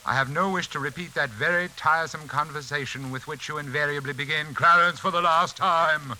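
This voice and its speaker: An actor playing a suave, sinister hypnotist in 1940s radio suspense, mockingly polite and wearily condescending. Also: male voice, fairly high pitch, a little fast, pushed and bright, low-quality recording. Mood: mocking, weary. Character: suave, sinister hypnotist villain toying with his family. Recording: old hissy 1940s radio broadcast.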